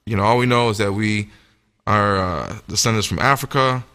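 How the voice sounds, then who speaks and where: A man's voice: lowish voice, moderate pace, pushed and bright, smooth. A man giving a sermon-like religious lecture, speaking in an emphatic, teacherly way. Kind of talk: sermon-like lecture with scripture reading. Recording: echoey hall with long reverb.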